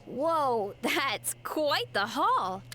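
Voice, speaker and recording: A woman's voice: sits high, sing-song, extremely slow, pushed and bright, exaggerated voice. A woman voicing a friendly young woman from a fruit farm, talking in a chatty, easygoing way in a game. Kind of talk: game voice acting. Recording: game audio with faint music.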